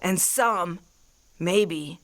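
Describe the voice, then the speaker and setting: A woman's voice: lowish voice, extremely slow, vocal fry, exaggerated voice. A woman playing a fanatical, scheming artillery woman in an audio drama about an invasion, talking in a cocky, folksy, ranting way. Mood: scornful, cocky. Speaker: acting class student.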